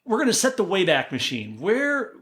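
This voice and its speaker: A man's voice: high voice, huge pitch swings, moderate pace, fairly bright tone. A man with an American accent hosting a casual interview, eager and curious, with folksy interjections. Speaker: podcast host.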